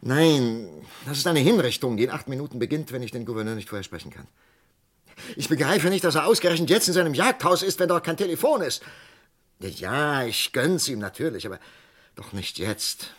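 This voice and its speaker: An actor playing an agitated, morally outraged psychiatrist in a German radio crime drama, speaking urgently and haltingly. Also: male voice, medium pitch, sing-song, fairly bright tone. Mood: anxious, impatient.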